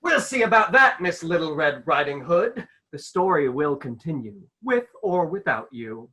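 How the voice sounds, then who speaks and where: A woman's voice: low-pitched, big pitch swings, normal speed, sharp tone, exaggerated voice. A woman playing a pompous, controlling storybook narrator who is easily flustered, in a radio comedy. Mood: menacing.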